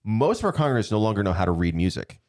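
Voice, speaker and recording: A man with a fairly low voice hosts a relaxed conversation about church music. His pitch swings widely as he talks, animated and ready to laugh. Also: rapid-fire. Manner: animated, laughs often, restarts sentences. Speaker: podcast host, church leader.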